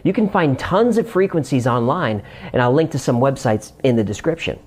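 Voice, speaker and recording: A man's voice: medium pitch, animated, brisk, a little mellow. A man hosting a scripted explainer, narrating it in a conversational way with room for comic banter. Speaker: host.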